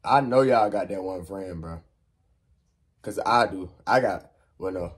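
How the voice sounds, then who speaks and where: A young man hosting a music reaction, hyped and slangy, swinging from loud exclamations to mumbled asides in a small bedroom. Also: deep voice, a little slow, husky. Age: young adult. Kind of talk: excited reaction commentary.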